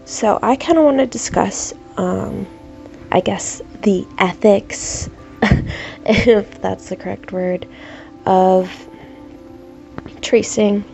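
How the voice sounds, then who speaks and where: A woman's voice: mid-range voice, slow pace, gravelly, pauses often, warm tone, faint music behind. A young woman with a stuffed-up cold voice, chatting hesitantly with many ums while she draws at home, with the camera over her paper. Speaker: art YouTuber. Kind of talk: casual commentary while drawing. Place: at home, at a desk, drawing.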